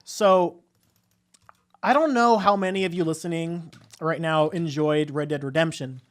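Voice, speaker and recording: A woman's voice: low-pitched, a little slow. A woman co-hosting a live podcast, chatting casually about films in a rambling way with emphatic agreement. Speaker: podcast co-host.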